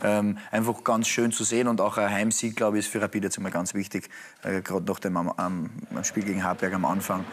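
A man's voice: lowish voice, flat delivery, fast talker, a little hiss or hum. A man who played as a striker, chatting on a studio football show and telling anecdotes with frequent laughter. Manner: chatty storyteller, laughs often. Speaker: pundit, former striker. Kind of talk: casual studio chat.

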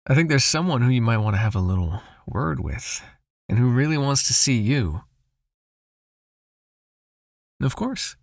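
A man playing a possessive, seductive vampire master in an ASMR hypnosis roleplay, murmuring breathily and slowly in an intimate, coaxing, softly commanding way. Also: mid-range voice, creaky, dry recording, studio quality. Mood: smooth, coaxing.

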